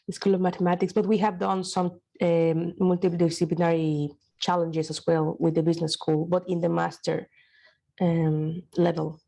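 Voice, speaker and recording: This is a woman from Mexico talking in an online workshop discussion. She speaks in a mellow, lowish voice. She is hesitant and restarts often. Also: flat delivery, normal speed, gravelly. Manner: hesitant, many restarts and fillers. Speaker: workshop participant, university staff.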